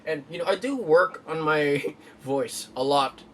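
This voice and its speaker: A young man from the Philippines rambles through a casual vlog, full of fillers and restarts. His voice sits a bit high for a man. He is picked up from some distance, with faint background noise. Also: a little slow. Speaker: YouTuber. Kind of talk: casual vlog talk to camera.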